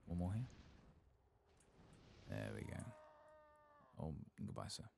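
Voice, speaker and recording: Very deep, creaky soft-spoken man. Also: very animated, slow pace, slight background noise. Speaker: ASMR gaming streamer. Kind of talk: soft-spoken and whispered ASMR game commentary.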